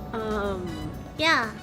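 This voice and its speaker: An American woman with a strained, worn-out voice, chatting casually and rambling with lots of fillers while streaming a game. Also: medium pitch. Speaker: vtuber streamer. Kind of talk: casual chat while gaming.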